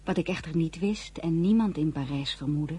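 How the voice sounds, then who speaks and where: A woman's voice: deep voice, normal speed, background noise, close to an everyday voice. An actress playing a candid young French bride who narrates her memoirs in a radio drama. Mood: wry, reflective.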